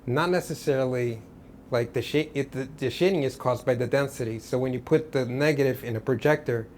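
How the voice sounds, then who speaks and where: A man from Portugal, an artist guest, talking about his work in a relaxed, rambling way with many restarts. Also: mid-range voice, brisk, slight background noise. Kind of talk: casual interview.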